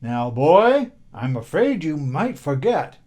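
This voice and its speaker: A man's voice: on the high side, very animated, slow, exaggerated voice. A male storyteller voicing a stern master giving orders to his servant. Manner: firm, loud scolding. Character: stern worried master of a household.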